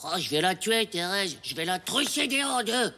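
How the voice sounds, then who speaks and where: An actor voicing a brash, excitable schoolboy in a cartoon, with a whiny, slangy way of talking. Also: mid-range voice, moderate pace, loud music behind the voice. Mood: indignant. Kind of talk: animated cartoon dialogue.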